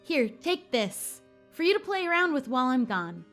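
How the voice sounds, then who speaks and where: Girl doing a mysterious magician teacher guy from a game, gentle n teasing, high voice. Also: sing-song, normal speed, faint music behind, full character voice. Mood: gently encouraging. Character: cryptic, gentle male magician and fortune-telling mentor.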